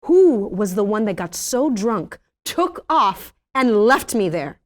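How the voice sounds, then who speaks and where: Comedy read: actress as angry, accusing woman, mid pitch, highly animated delivery. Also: takes it slowly. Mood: accusing, bitter. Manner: confrontational, dramatic. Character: fed-up angry woman scolding her drunken man. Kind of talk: live table read of comedy script.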